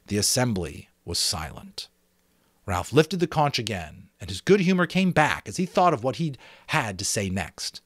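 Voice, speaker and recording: Expressive man narrating, lively pitch. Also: normal speed, no room sound, studio quality. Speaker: amateur audiobook narrator. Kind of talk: dramatic storytelling narration.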